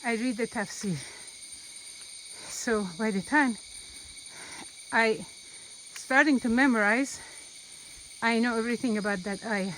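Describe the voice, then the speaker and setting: A woman's voice: on the high side, a little slow, pauses often, fairly bright tone, a little hiss or hum, low-quality recording. A woman teaching about the Quran while walking a rainforest trail, speaking earnestly and unhurriedly and repeating phrases for emphasis. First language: not Arabic. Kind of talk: walking vlog talk.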